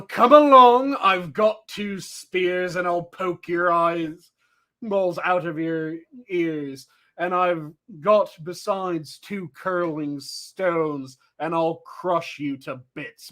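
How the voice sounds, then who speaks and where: A man reading a storybook, voicing a huge, bold billy goat with an ugly hoarse voice, slow and threatening. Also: a little high, pushed and bright.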